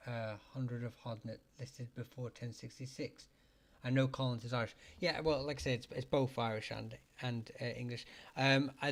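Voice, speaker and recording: A man hosting a live chat, talking haltingly with many fillers and restarts, his voice getting hoarse as he keeps clearing his throat. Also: medium pitch. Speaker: livestream host answering surname questions. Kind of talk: casual live-chat talk.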